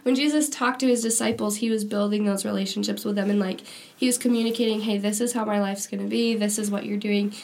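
A woman's voice: medium pitch, steady tone, fast talker, creaky, darkish tone. A young woman co-hosting a casual faith-based podcast, sounding nasal from a cold and talking in a chatty way full of "like" and "um". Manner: chatty, lots of "like" and "um". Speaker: podcast co-host.

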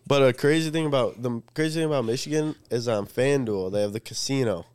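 A man's voice: mid-range voice, quick pace, darkish tone, clean tone, no room sound. A man in his twenties, co-hosting a podcast and telling stories in a laid-back way with lots of fillers. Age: 20s. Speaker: podcast co-host. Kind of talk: casual chat.

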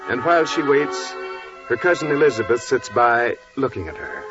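A man's voice: medium pitch, big pitch swings, moderate pace. A man narrating as a radio announcer in a solemn, formal 1940s style. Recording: dull, muffled 1940s radio recording. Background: prominent music.

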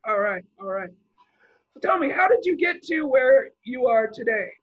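A man's voice: extremely high pitch, moderate pace, breathy edge, muffled recording. A man hosting a casual podcast interview, introducing his guest and asking loose questions with fillers. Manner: relaxed, reads out guest background with fillers. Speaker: podcast host.

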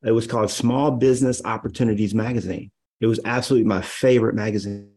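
A man in his fifties, a guest on a podcast, telling stories in a relaxed, rambling way with many fillers. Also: fairly low pitch, fast. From Los Angeles area, USA. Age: 50s. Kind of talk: casual storytelling interview.

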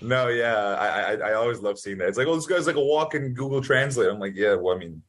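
A young man, born in Italy, telling stories in a casual interview with lots of fillers and acted-out quotes. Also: medium pitch. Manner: fast, animated storytelling full of fillers. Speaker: podcast guest.

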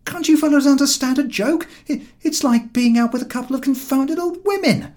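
A man voicing an exasperated, irritable gentleman in a comic audiobook, with an indignant, blustering, emphatic manner. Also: very high-pitched, brisk, voice pushed up. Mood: angry, ungracious. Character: exasperated, irritable gentleman, quick to take offence.

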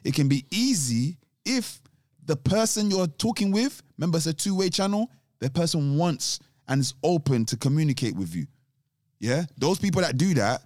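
A man's voice: a little high, darkish tone, no echo. Reflective man, dry close sound. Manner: reflective, explains at length with restarts. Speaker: podcast co-host. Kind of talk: casual chat.

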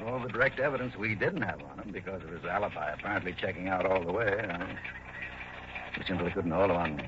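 A man's voice: sits low, quick pace, lightly acted. A male actor playing a plain-spoken, world-weary police sergeant in a 1960s radio drama. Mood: frustrated. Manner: emphatic, plain-spoken, slangy. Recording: dull old radio recording, clear hiss.

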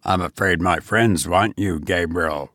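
A man voicing a stern, menacing goblin with a deep voice, taunting slowly in a storybook reading. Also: a bit bright, no echo, clean studio sound. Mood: mocking.

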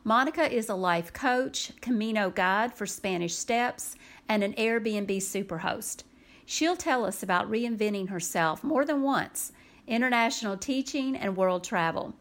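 A woman's voice: medium pitch, measured pace, a bit bright. A woman in her forties hosting her own podcast, eager in her welcome and chatty as she asks her friend questions. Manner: enthusiastic, scripted-sounding introduction, then chatty. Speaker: podcast host. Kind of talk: podcast interview.

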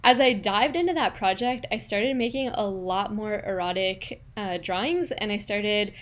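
A woman from Quebec whose first language is French, hosting her podcast and telling her own story in a relaxed, personal way with fillers. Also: medium pitch, moderate pace. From Quebec, Canada. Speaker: podcast host. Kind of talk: casual solo podcast monologue.

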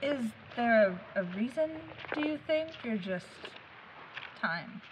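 High, animated young woman, noisy ambience. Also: takes it slowly. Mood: curious, gentle. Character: frank, teasing, curious young woman, supportive friend. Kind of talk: naturalistic audio drama acting.

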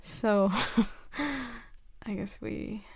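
A young woman playing a shy, nervous tomboy best friend confessing a crush in an intimate audio roleplay, hesitant and halting. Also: mid-range voice, flat delivery, vocal fry, heavily acted. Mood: flustered, nervous.

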